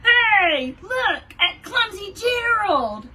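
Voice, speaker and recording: A woman voicing mocking jungle animals in a storybook, loud and sneering, with drawn-out taunts. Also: very high-pitched, big pitch swings, far from the mic, slight background noise, pitched above the performer's own voice, heavily acted.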